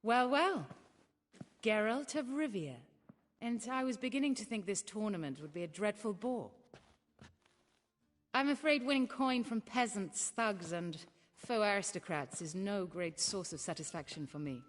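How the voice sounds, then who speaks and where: An actress playing a poised, worldly mystery woman in a video game, speaking in a measured, wry and cultured way. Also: mid-range voice, expressive, long reverb tail. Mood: sly, teasing. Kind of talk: video game dialogue acting. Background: background music.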